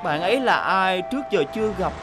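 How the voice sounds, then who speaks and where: A woman's voice: mid-range voice, animated, fast talker, music in the background, lightly acted. A woman voicing a friendly, cheerful young city bus in a dubbed cartoon. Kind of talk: Vietnamese cartoon dub.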